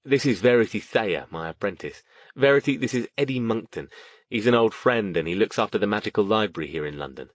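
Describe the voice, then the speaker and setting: A man's voice: lowish voice, expressive, a little fast, no echo, natural voice. A man plays a reserved magician mentor from a fantasy audiobook. He speaks with understated courtesy, and the sound is dry and close. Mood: warm greeting.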